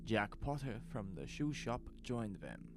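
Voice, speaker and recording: A man's voice: on the low side, very animated, a little slow, noisy background. A man narrating a scripted horror story, with ambient sound effects behind him.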